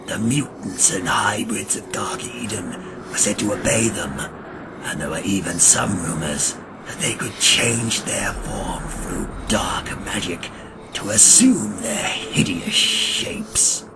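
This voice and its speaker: A man playing a grim, ancient vampire hunter who narrates a gothic audio drama in a solemn, ornate and gravelly manner. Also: lowish voice, heavy background noise. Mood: contemptuous, grave. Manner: solemn, ornate, deliberate delivery. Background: dramatic music.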